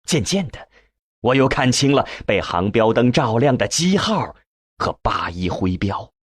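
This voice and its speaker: A man reading a novel as a scripted narration, with measured, expressive storytelling and a husky voice. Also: mid-range voice, no room sound, studio quality. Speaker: audiobook narrator.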